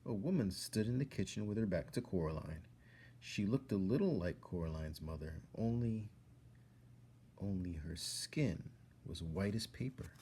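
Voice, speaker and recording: A man reading a children's story aloud as a storyteller, expressively and with dramatic pauses. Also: low voice, lively intonation, dark tone, a little hiss or hum. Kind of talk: narrated story read-aloud.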